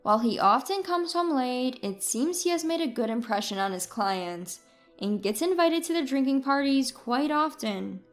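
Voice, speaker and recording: A woman's voice: high-pitched, animated, normal speed, faint music behind. A woman reading a scripted first-person story as its narrator.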